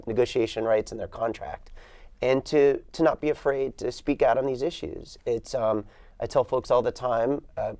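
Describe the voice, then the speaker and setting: Confident union organizer, mellow man. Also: mid-range voice, no echo. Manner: confident, speaks in rallying phrases. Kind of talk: interview answers.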